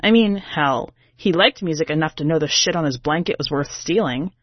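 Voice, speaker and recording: A woman's voice: low-pitched, animated, moderate pace, no echo, highs cut off. A woman reading a first-person story as a brash, sardonic singer, in a slangy, emphatic, conversational way. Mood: wry. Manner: sassy, slangy, irreverent, emphatic. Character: brash, sardonic urban woman singer, self-declared diva, telling her tale.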